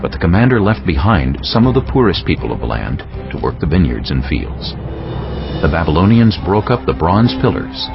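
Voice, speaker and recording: A man narrating scripture for a dramatized audiobook in a solemn, deliberate way with dramatic phrasing. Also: sits low, very animated, gravelly. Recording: dull lo-fi audio, music bed. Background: prominent background music.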